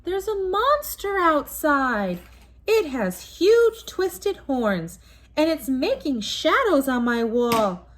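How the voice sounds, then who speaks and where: A woman's voice: high voice, big pitch swings, pitched above the performer's own voice, exaggerated voice. A woman voicing a frightened, pleading young bear cub in a storybook. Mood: scared, pleading. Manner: drawn-out, pleading. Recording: noisy room, home recording.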